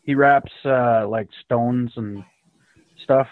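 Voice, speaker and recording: A man's voice: medium pitch, steady tone, takes it slowly, no room sound. A man hosting a livestream, joking and swearing casually with friends. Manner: joking, profane banter. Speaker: livestream host. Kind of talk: casual group chat on a livestream. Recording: tinny phone-like audio.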